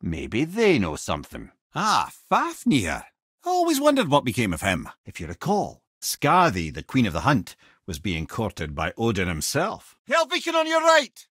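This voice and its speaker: Old scottish wise man game companion, husky and wry, super animated delivery, really dry close sound. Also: mid-range voice, measured pace, no room sound, exaggerated voice. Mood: solemn storytelling, then urgent warning. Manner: theatrical, wry, eloquent. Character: Mimir, witty old talking head, learned storyteller and loyal companion. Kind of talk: video game character dialogue, lore storytelling.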